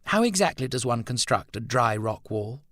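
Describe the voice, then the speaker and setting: A man's voice: medium pitch, very animated, normal speed, fairly bright tone, dry recording, studio quality, pitched above the performer's own voice. A man voicing a polite upper-class hostess who speaks in an eager, gracious way.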